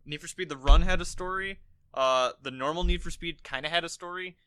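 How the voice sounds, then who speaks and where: A man's voice: fairly high pitch, fairly quick, bright, forceful tone, clear, resonant tone, no room sound. An American man co-hosting a podcast, chatting casually about a film in a rambling, profane storytelling way. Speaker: podcast co-host. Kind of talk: casual film review chat.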